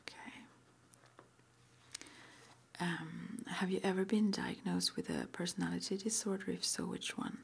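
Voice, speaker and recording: A woman whispering gently and hesitantly in an ASMR roleplay, as an interviewer asking intake questions in a breathy voice. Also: lowish voice, fairly even intonation, unhurried, long pauses. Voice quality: breathy whisper with some creak. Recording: faint background noise.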